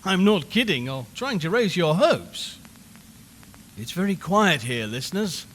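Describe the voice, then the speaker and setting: A man's voice: high voice, big pitch swings, unhurried, fairly bright tone, heavily acted. A man playing an uneasy, chatty radio reporter who talks wryly to listeners from a haunted house in a horror audio drama. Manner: conversational, wry, addressing listeners. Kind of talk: live radio broadcast horror drama acting. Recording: long reverb, background hiss and ambience.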